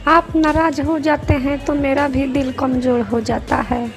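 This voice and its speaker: A woman playing a protective village wife and mother in a cartoon story, gentle and reassuring. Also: high voice, level tone, measured pace, soft background music. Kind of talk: cartoon moral-story character acting.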